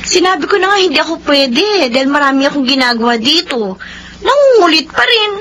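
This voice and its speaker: A woman's voice: sits high, expressive, a little fast, a bit bright. An actress playing a modest, reluctant and dutiful young woman in a Filipino radio drama. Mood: casual, dutiful.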